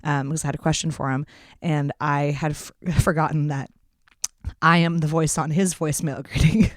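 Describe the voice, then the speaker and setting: Low-voiced woman, dry podcast mic. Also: level tone, moderate pace, dry recording. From Seattle area. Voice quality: smooth radio voice. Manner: laughs often, trails off and restarts mid-sentence. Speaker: podcast co-host. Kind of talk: casual chat.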